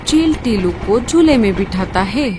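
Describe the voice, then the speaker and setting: A woman narrates a children's cartoon story in a fairly high, very animated voice. She takes her time with each phrase, and light music plays underneath. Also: unhurried, a little hiss or hum.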